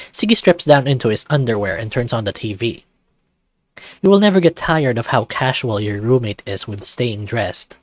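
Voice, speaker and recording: A man narrates a visual novel in the second person with an even storytelling delivery. His voice is mid-pitched and the recording is dry and close. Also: dry recording.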